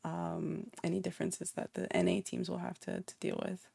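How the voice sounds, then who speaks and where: A woman from North America hosting an online interview, asking friendly, measured questions with a few fillers. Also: lowish voice, little pitch movement, gravelly, mellow, slightly rough, no room sound.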